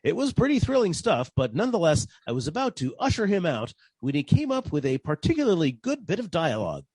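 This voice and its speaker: An actor playing a weary, sarcastic private detective with a husky voice and an exasperated manner, in a 1940s style radio comedy. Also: male voice, fairly high pitch, huge pitch swings, brisk, darkish tone, dry recording, full character voice, poor audio. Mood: wry narration.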